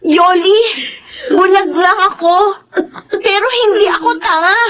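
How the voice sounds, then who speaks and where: A woman's voice: extremely high pitch, fairly even intonation, unhurried, a bit bright, low-quality recording. A woman playing a sensitive, easily hurt young daughter in a Filipino radio drama, with a Filipino accent and an emotional delivery. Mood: hurt, angry.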